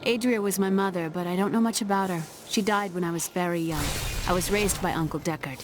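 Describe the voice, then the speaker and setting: A woman's voice: on the low side, fairly quick, natural voice. An actress playing a determined young woman of a besieged town in a fantasy video game, earnest and plain-spoken. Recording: game music behind.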